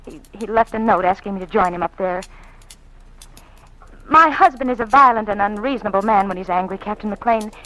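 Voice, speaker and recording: A woman's voice: medium pitch, a little fast, sharp tone, natural voice. An actress playing an anxious, secretive politician's wife in an old radio drama, hesitant and genteel in her speech. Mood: nervous, confiding. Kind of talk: 1940s radio drama acting, melodramatic. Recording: faintly noisy old radio recording.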